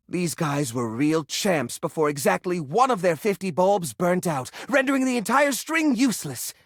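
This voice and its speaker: Quirky, animated junk-drawer hoarder. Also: male voice, fairly high pitch, dry recording, clean studio sound. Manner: expressive, earnest, fussy musing. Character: quirky, sentimental male junk drawer personified, fussy hoarder. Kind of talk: video game character acting.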